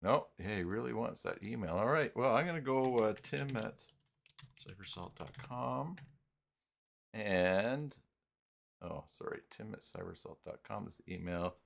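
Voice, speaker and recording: Slow, deep-voiced man with gravelly creak. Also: lively intonation. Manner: thinks aloud, muttering and humming filler syllables between steps. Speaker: live stream host and web tutorial presenter. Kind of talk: casual unscripted screen-share software tutorial.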